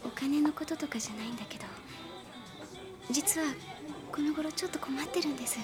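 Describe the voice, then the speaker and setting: An actress playing a hesitant, soft-spoken young woman in a radio drama. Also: sits high, fairly quick, loud music behind the voice, natural voice. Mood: uneasy.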